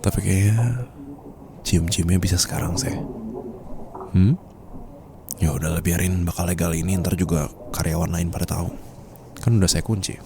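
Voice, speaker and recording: A man's voice: low voice, steady tone, moderate pace, vocal fry, long pauses, noisy background. A man with an Indonesian accent playing a possessive, teasing boss and doting fiancé in an intimate ASMR roleplay, flirtatious and playfully domineering. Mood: flirty, tender. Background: ambient room sound effects.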